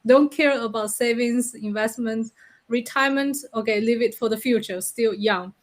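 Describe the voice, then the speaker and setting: A woman's voice: on the high side, moderate pace. A woman co-hosting a casual podcast chat, candid and laughing as she talks. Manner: candid, laughs while talking. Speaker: co-host.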